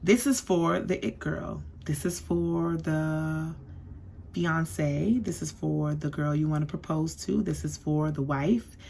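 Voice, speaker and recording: A woman's voice: deep voice, steady tone, slow, creaky, darkish tone, a little hiss or hum. Sassy chatty woman reviewing perfume. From US East Coast. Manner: sassy, chatty, lots of "okay?". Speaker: beauty vlogger.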